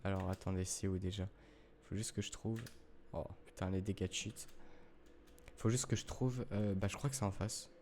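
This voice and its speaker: Man, low mellow voice, casual game tutorial, rambling with fillers, faint hiss behind. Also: steady tone, moderate pace. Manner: rambling, full of fillers and self-corrections, addresses viewers as "les gars". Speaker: gaming youtuber.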